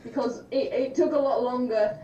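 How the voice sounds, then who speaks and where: A woman's voice: on the high side, fairly even intonation, moderate pace, warm tone, highs cut off, a little hiss or hum. A woman co-hosting a casual live chat, explaining things informally. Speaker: co-host from the film production team. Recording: roomy, distant mic, voices around.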